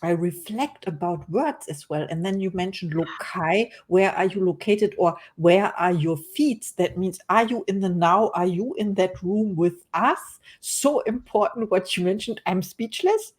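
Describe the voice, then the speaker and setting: A woman's voice: on the low side, a little slow, few pauses. A woman, an English speaker hosting an interview, enthusiastic and now and then searching for words. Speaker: podcast host.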